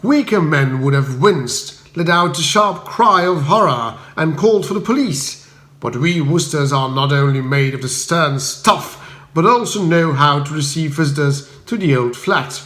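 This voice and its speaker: A man reading a comic first-person story as scripted narration, with a measured, wry, mock-grand delivery. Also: on the high side, expressive, a bit bright. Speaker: audiobook reader. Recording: roomy echo.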